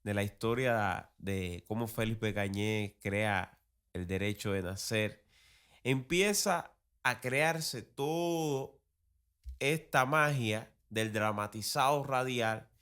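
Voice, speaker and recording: A man with a Cuban accent hosting an explanatory history talk, phrasing things in a deliberate, didactic, emphatic way. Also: fairly low pitch, fairly bright tone, smooth. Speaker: podcast host.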